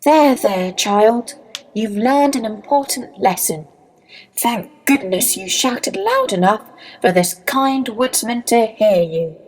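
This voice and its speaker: A woman voicing a kindly old grandmother who gently reassures, in a storybook tale. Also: on the high side, big pitch swings, takes it slowly, full character voice, poor audio. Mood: soothing.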